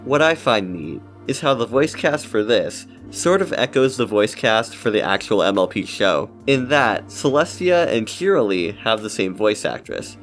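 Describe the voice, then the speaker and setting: Male video essayist, low pitch, casual scripted commentary over background music. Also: sing-song, normal speed, hardly any pauses, a bit bright.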